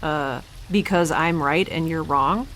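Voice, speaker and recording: A woman playing a guilt-ridden young scientist in an audio drama, speaking in a halting, reflective way over background noise. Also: low-pitched, fairly bright tone. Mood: defensive, wry. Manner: halting, reflective, self-questioning. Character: guilt-ridden young woman scientist recording her log aboard a ship. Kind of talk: audio drama monologue, introspective.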